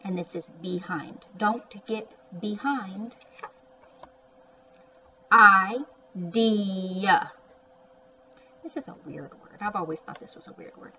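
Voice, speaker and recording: Slow-paced woman teaching reading, distant muffled mic. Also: medium pitch, sharp tone. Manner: sounds out and repeats words, chatty asides. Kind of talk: word-by-word phonics lesson for young children. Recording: distant mic, muffled, faint background noise.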